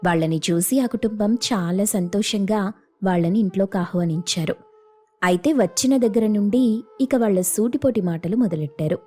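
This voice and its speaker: A woman's voice: mid-range voice, moderate pace, dark tone, husky. A woman reading a scripted family story as a storyteller. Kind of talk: scripted story narration with acted dialogue.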